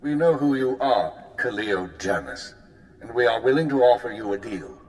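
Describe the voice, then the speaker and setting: A composed intelligence chief, voiced by a man, with a mid-range voice and formal, measured delivery. His tone stays dry and controlled. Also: mid-range voice, big pitch swings, darkish tone, natural voice, rough recording.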